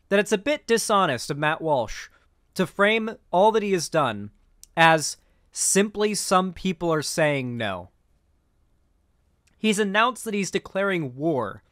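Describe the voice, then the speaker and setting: A man's voice: high voice, a bit bright, no room sound. A young man giving casual, opinionated reaction commentary as a streamer, speaking into a close mic indoors. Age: young adult.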